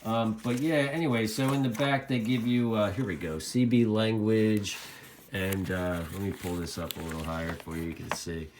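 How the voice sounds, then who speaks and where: A man's voice: on the low side, fairly even intonation, moderate pace, darkish tone, slight background noise. A man giving a casual hobbyist product review to camera, rambling along and often repeating his phrases.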